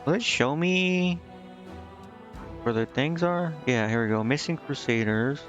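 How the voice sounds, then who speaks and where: A man streaming a video game, casually thinking out loud and reading menu text to himself, with some offhand swearing. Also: fairly high pitch, a little slow, lo-fi sound, over music. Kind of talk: casual live gameplay commentary, muttering and reading menu text aloud.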